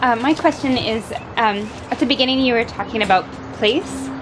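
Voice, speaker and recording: Woman, audience question, hesitant phrasing, distant, loud room noise. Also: fairly high pitch, moderate pace, roomy sound. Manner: hesitant, searching for words. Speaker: audience member asking a question. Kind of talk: question from the floor. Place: community farm event space.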